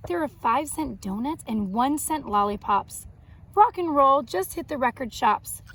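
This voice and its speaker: A woman reading a picture book aloud to young children, expressive, pausing to explain words simply. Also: sits high. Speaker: children's read-aloud host and art teacher.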